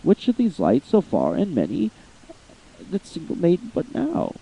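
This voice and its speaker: Man doing a high-voiced old hermit. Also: wildly swooping pitch, unhurried, gravelly, dark tone, breathy edge, exaggerated voice, rough recording. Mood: wondering. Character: old holy hermit man, wondering and uneasy.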